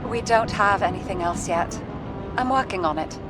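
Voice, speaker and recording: An actress playing a clinical woman doctor in a dystopian noir video game, speaking in a measured way. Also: mid-range voice, lightly acted. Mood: clinical, reassuring. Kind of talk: cinematic video game dialogue. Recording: loud music under the voice.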